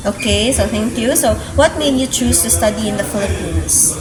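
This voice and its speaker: A woman's voice: fairly high pitch, animated, moderate pace, crowd noise. A young woman interviewing a student, working through prepared questions and starting most with "okay, so". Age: young adult. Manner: methodical, frequent "okay, so" fillers.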